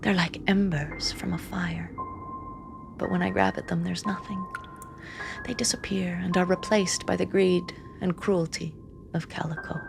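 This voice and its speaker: An actress playing a grim, haunted seer who reads the dead, narrating in the first person with hushed, deliberate intensity. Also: female voice, on the low side, expressive, gravelly, over music. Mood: somber, wistful. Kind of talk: first-person dramatic narration in audio drama.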